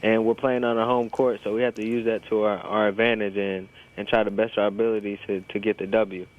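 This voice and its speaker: Over a thin, narrow phone line, a young American man answers interview questions as a college player. His voice is on the low side, and he speaks informally and hesitantly, repeating words as he forms plain answers. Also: level tone, a little fast. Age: early 20s. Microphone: telephone.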